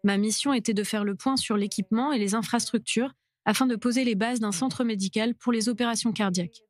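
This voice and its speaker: A woman doctor speaks in a fairly high voice, recalling things in a reminiscing way. The recording is dry and close. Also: steady tone, brisk, dry recording. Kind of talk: voice-over translation of an interview.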